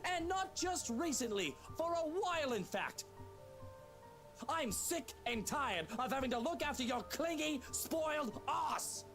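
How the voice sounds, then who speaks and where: Arrogant businessman husband, scornful and volatile, big pitch swings, game music behind. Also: expressive, unhurried, fairly bright tone, exaggerated voice. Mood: scornful rage. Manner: sneering, belligerent, scornful. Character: arrogant, hot-tempered, foul-mouthed wealthy husband, a businessman. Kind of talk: dramatic visual novel voice acting.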